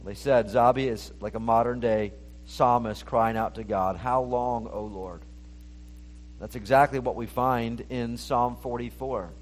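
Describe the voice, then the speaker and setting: A man's voice: fairly even intonation, a little slow, a little hiss or hum, low-quality recording. Earnest male pastor preaching. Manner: earnest, rises in pitch when reading scripture aloud. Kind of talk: sermon.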